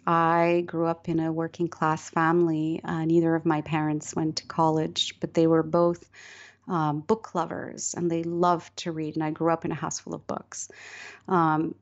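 A low-voiced middle-aged woman from Morocco, speaking as a novelist in an interview. She talks thoughtfully, pausing with fillers and restarting her sentences. The recording sounds a little dull. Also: flat delivery. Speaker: novelist guest. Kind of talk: interview conversation.

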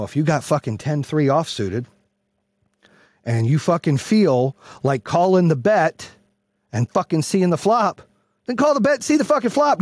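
A man giving a brash, profane, emphatic motivational monologue in a husky voice, repeating phrases for punch. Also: on the high side, darkish tone, no echo. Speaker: motivational speaker and host.

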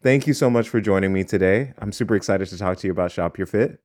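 A man's voice: low voice, fast, a little mellow. A man hosting a podcast interview, asking eager, casual questions with frequent restarts. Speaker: podcast host.

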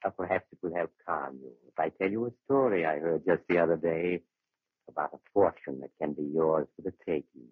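An actor playing a sinister, courteous doctor who tells eerie tales in measured 1940s radio narration. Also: male voice, extremely low pitch, husky, no echo. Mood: suavely ominous. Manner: measured, theatrical, suavely menacing. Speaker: storyteller host of a horror radio series. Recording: dull old-time radio recording.

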